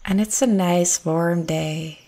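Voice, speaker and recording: A woman narrating a guided meditation in a gentle, soothing, unhurried way with long pauses. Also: fairly low pitch.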